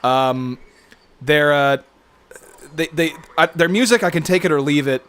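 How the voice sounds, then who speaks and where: A young video creator chatting on a game livestream, rambling and hesitant with many restarts, joking along. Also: male voice, fairly high pitch, a little slow, a bit bright, smooth. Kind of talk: casual chat over a game stream.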